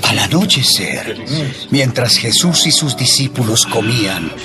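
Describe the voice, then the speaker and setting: Guy narrating bible drama, lively pitch, music playing under him. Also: measured pace. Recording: music bed throughout.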